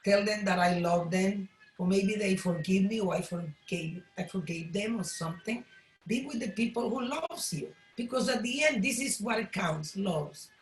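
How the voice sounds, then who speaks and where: A woman from El Salvador with a Spanish accent, sharing personally in halting English as a participant in an online class. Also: medium pitch, a little hiss or hum. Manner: halting non-native English, appreciative. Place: room, on an online video call. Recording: roomy, echoey video call sound.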